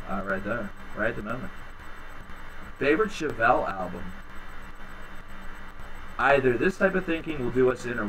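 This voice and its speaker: A man in his thirties hosting a home livestream, chatting casually with viewers in a rambling, low-key, tired way. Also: fairly bright tone, far from the mic, a little hiss or hum, poor audio. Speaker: livestream host reading chat. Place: small home room indoors. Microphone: headset.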